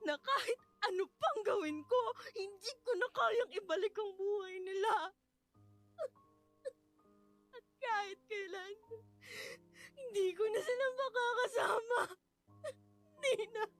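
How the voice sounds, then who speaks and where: High-pitched, tearful grieving Filipino widow. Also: female voice, a little slow. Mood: tearful anguish. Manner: tearful, pleading delivery with repeated broken phrases. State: crying. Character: grief-stricken young widow, anguished, guilt-ridden, despairing. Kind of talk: radio drama acting, heavily emotional. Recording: studio voice over prominent dramatic music.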